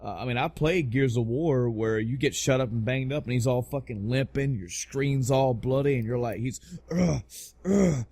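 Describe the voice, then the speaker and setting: A man's voice: mid-range voice, moderate pace, vocal fry, darkish tone. A man hosting a casual podcast about pop culture, talking in an emphatic, rambling way with plenty of swearing. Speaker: podcast host. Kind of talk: casual podcast chat about video game movies.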